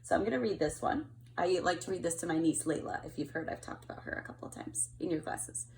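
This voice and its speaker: A woman reading a picture book aloud to children in an expressive storytelling style. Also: lowish voice, fast talker, a little hiss or hum, rough recording. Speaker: storybook reader.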